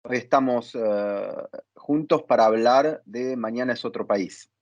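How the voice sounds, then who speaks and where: A man hosting an interview as a dramaturge, speaking haltingly with pauses as he phrases his thoughts. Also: mid-range voice. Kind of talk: hosting an interview, partly reading from notes. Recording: dull lo-fi dry sound.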